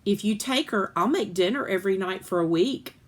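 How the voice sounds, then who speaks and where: A woman's voice: medium pitch, expressive, a little fast, lightly acted. Storybook father, voiced by a woman: reluctant family haggler, coaxing delivery, medium register. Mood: reluctant, bargaining.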